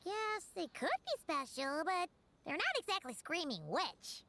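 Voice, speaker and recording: A woman voicing a tiny, excitable and boastful female companion in a video game, chirpy and quick to exclaim. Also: extremely high pitch, vocal fry, heavily acted.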